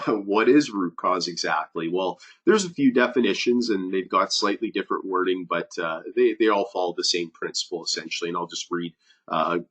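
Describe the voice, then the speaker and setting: A man's voice: low voice, a bit bright. A man who is a safety advisor, giving a webinar presentation with many fillers and restarts, sounding nasal from a cold.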